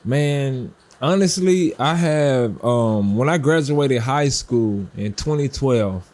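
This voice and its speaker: A relaxed man in his late twenties, from the United States, speaking as a guest in a casual interview. He talks easily and reacts with open surprise. His voice sits at a middling pitch. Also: takes it slowly. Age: late 20s. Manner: relaxed, easygoing, exclaims wow often. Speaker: guest content creator.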